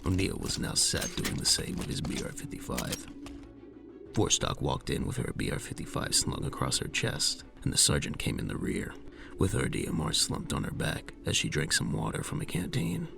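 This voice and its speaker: A man narrating a scripted science fiction audio drama in a measured storytelling manner. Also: extremely low pitch, wildly swooping pitch, vocal fry, music in the background.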